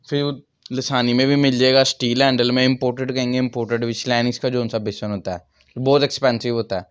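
A man's voice: medium pitch, steady tone, quick pace, clean tone, muffled recording. A man in his thirties with a Pakistani accent, a shop owner explaining products and prices in an interview. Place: small crowded furniture shop, indoors. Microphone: handheld microphone held by interviewer.

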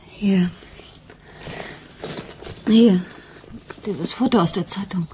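An actress playing a troubled, anxious wife in a German radio crime drama, with a hesitant, brooding manner. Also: female voice, medium pitch, very slow, warm tone, slight background noise. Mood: shaken.

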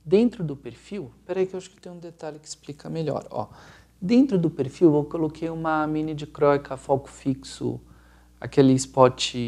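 A man's voice: on the high side, vocal fry, darkish tone. A middle-aged Brazilian man giving an informal explanatory presentation, rambling casually and searching for words aloud. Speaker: lighting designer presenting a project video.

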